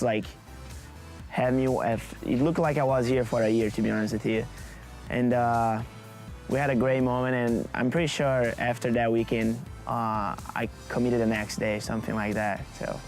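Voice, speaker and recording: Earnest young Brazilian soccer player. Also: male voice, medium pitch, level tone, normal speed, darkish tone. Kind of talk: casual interview answers. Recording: music bed under interview.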